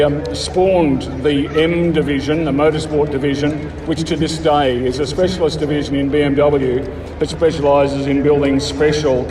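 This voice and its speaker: An Australian man from the bush giving a casual interview as a car club member, halting but enthusiastic. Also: mid-range voice. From rural Australia. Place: outdoors at a motor show. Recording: echoey outdoor PA, distant, crowd chatter. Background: crowd.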